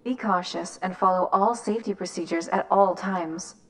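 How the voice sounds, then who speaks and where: A woman acts as a female announcer at a containment facility in an audio drama. She delivers scripted safety notices formally, at a medium pitch, in a procedural manner. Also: moderate pace, fairly bright tone, close to an everyday voice.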